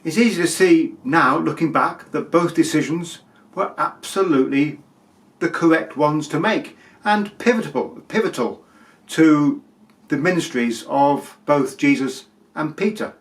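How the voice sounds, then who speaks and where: A man giving a sermon as a preacher, explaining things with emphatic pauses and repetition. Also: on the high side.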